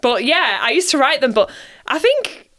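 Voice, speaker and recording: A woman's voice: high voice, very animated, moderate pace, fairly bright tone. A young woman from Bradford with a Yorkshire accent, talking casually as an actress being interviewed, self-deprecating and given to repeating herself for emphasis. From Bradford, northern England.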